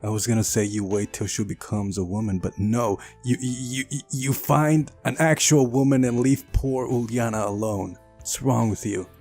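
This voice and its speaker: A man's voice: medium pitch, steady tone, moderate pace, hardly any pauses, a little mellow, soft background music. A man hosting a visual novel playthrough, reading the text aloud and adding incredulous, exasperated asides. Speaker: video game playthrough host. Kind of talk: visual novel let's play, reading and reacting.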